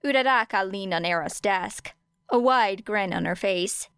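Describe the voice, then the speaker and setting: A woman's voice: mid-range voice, unhurried, fairly bright tone, smooth, dry recording, clean studio sound. A woman reading scripted story narration as an audiobook narrator. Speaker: audiobook narrator of fan fiction.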